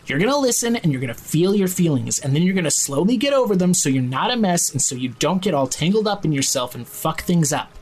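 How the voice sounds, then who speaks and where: An actor playing a drunk, blunt, foul-mouthed young man who insistently and gruffly looks out for his friend in an audio drama. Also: fairly high pitch, very animated, a little fast, slight background noise. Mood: blunt, firm. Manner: slangy, insistent, gruffly caring. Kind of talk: audio drama acting, naturalistic.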